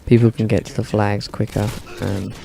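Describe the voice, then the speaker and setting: A man giving casual, monotone commentary over his own gameplay, with dry humour and many hesitations. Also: fairly low pitch, moderate pace, gravelly, a little hiss or hum, poor audio. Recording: game gunfire in the background.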